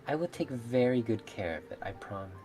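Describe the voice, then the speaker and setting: A woman voicing a mermaid in a visual novel, measured, formal and coolly reasonable, politely menacing. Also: very deep voice, lively intonation, dark tone. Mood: serenely menacing. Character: calm, menacing female mermaid, politely murderous. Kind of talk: visual novel character read-aloud. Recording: music behind the voice.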